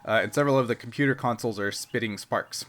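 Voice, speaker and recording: A man's voice: medium pitch, fairly bright tone. A man working as game master, narrating a tabletop adventure in a casual, improvised, conversational way. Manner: conversational, quick to laugh. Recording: noisy room recording with background ambience.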